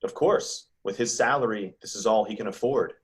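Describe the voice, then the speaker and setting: Grave spirit guide, played by a man, low voice, moralizing, for a holiday drama read. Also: normal speed, natural voice. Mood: patient.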